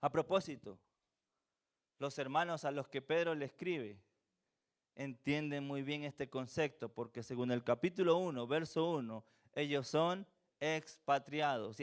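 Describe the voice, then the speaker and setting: A man from Venezuela with a Venezuelan accent touched by Chilean, preaching a sermon in a church with earnest repetition and rhetorical questions. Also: fairly high pitch. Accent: Venezuelan with a slight Chilean tinge.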